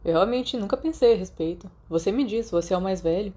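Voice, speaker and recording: A woman reading the part of a quiet, practical teenage boy in an audiobook, understated and matter-of-fact. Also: medium pitch, fast, lightly acted. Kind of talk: audiobook character dialogue.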